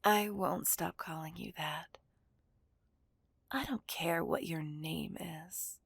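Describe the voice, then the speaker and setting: A woman playing a menacing, dominant villain kidnapper in a whispered ASMR roleplay, commanding and mocking in a breathy hush close to the microphone. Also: fairly low pitch, slow, no echo, heavily acted. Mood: smug, taunting.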